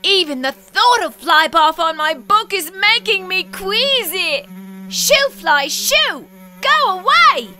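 A woman's voice: extremely high pitch, sing-song, slow, sharp tone, exaggerated voice. A woman voicing a fussy, neat-freak young male frog who exclaims and frets dramatically in a storybook reading. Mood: frantic. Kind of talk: storybook read-aloud acting.

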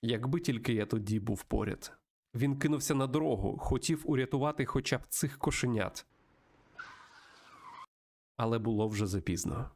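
A man with a creaky voice, hosting a let's-play, reading a visual novel aloud and adding his own commentary. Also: mid-range voice, moderate pace, long pauses, a little mellow. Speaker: host of a video game let's-play show.

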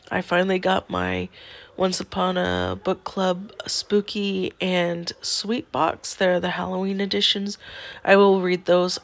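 A woman in her thirties talks to her phone camera from bed, her voice hoarse and stuffed up from a cold. It sits low and gravelly, with a rough crackle at the ends of phrases. She rambles in a low-key way, filling her pauses with ums. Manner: congested, low-key, rambling with many fillers. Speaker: book unboxing vlogger. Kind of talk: casual chat to camera. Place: bedroom, lying on a bed indoors. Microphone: phone held close at arm's length.

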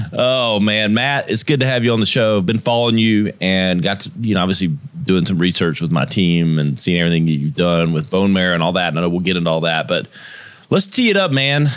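A man's voice: sits low, expressive, normal speed, a bit bright, clear, resonant tone, dry recording. A man in his forties hosting a podcast interview, talking casually, laughing often and swearing freely. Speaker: podcast host. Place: home studio with posters. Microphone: headphones and boom mic.

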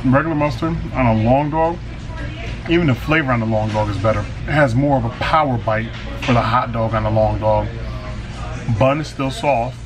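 A man in his forties casually reviewing food on camera, slangy and animated, reading his own written review aloud with flourish. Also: medium pitch, roomy sound, poor audio. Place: small restaurant dining room, indoors.

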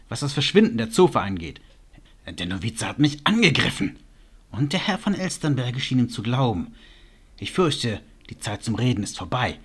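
A man's voice: medium pitch, slightly rough. A man playing a conniving chamberlain in a fantasy audio drama, speaking in an anxious, deferential way. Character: servile, conniving chamberlain of a villainous lord.

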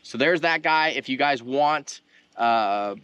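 A man's voice: mid-range voice, slow, pushed and bright, clear, resonant tone, lo-fi sound. A man hosting an instructional video, explaining gear and technique in an informal, conversational way, speaking straight to viewers. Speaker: host of a fishing tutorial channel. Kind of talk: casual instructional demonstration talk.

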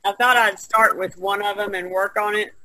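A woman's voice: medium pitch, fairly even intonation, moderate pace, pushed and bright, dry recording, rough recording. A woman chatting casually as a participant in an online group call. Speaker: podcast participant, visual artist. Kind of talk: casual chat. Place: online video conference.

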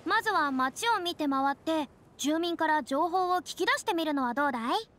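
Earnest, high-pitched young noblewoman. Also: animated, normal speed, fairly bright tone, slight background noise. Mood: suggesting. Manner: boyish phrasing, earnest. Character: theatrical young noblewoman, boyish speech, earnest aspiring artist. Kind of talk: anime game acting. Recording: music behind the voice.

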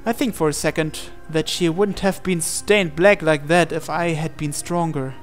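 High-pitched man narrating a visual novel. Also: steady tone, moderate pace. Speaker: let's player reading a visual novel aloud. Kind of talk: dramatic read-aloud narration of on-screen text. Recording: music behind the voice.

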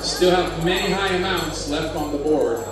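Man hosting a stage game show, higher voice, bouncy delivery, distant mic in a reverberant theater with loud music. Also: normal speed. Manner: animated, jokey, calls out amounts. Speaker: game show host on a cruise ship. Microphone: handheld mic, far camera. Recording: echoey hall from far off, loud music.

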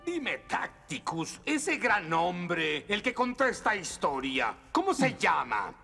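Casting: cartoon Roman emperor, very high shrill voice, vain tyrant, theatrical rants, creaky edge. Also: male voice, sing-song, takes it slowly, heavily acted. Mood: gloating. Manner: theatrical, ranting. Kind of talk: exaggerated cartoon villain dubbing. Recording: loud music behind the voice.

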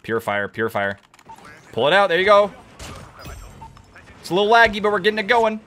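Young guy on a headset yelling at a shooter game, voice cracking, bright and all over the place. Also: high-pitched, sing-song, fairly quick, faint music behind. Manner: hyped, exclamatory, jumps between mutters and shouts. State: voice cracking, hoarse. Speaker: gaming YouTuber. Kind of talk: excited gameplay commentary. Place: home gaming setup, indoors.

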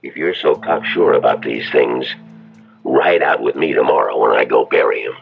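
An actor playing a gruff, stubborn ranch hand in a 1970s radio drama. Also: male voice, extremely low pitch, moderate pace, noisy background. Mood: gruff, challenging. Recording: tinny, narrow old radio recording, music behind.

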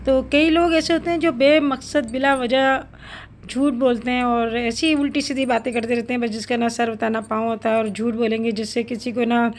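A middle-aged woman talks through her home vlog in an earnest, reflective tone, often stopping to pause and gather her words. Her voice sits a touch high, and a faint noise runs underneath. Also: steady tone. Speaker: cooking vlogger. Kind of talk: casual vlog voice-over.

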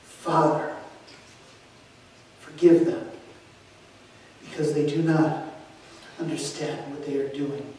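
A man's voice: a little high, flat delivery, slow pace, long pauses, warm tone, heavy reverb, recorded from a distance, a little hiss or hum, low-quality recording. A man voicing a dying man on a cross, speaking faintly and tenderly, short of breath. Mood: weak, tender.

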